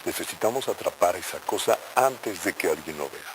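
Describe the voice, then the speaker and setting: A man's voice: sits low, expressive, brisk. A man playing a stern, secretive director who gives curt orders in an analog horror drama. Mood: stern, urgent. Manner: curt, commanding. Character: stern secretive male director of a shady organization. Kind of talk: analog horror dialogue acting. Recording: clearly noisy recording.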